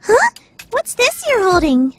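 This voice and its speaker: A woman playing a bubbly, gushing, cutesy high school girl in an excitable anime radio play style. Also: measured pace, full character voice. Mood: gushing excitement. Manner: squealing, excitable. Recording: loud background music under the voice.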